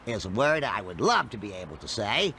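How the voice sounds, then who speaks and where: A man's voice: on the low side, sing-song, a little fast, pushed and bright, slight background noise, heavily acted. A man voicing an eccentric, nerdy middle-aged mad scientist in a cartoon game, nasal and rambling with babbled nonsense words. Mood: excitable rambling.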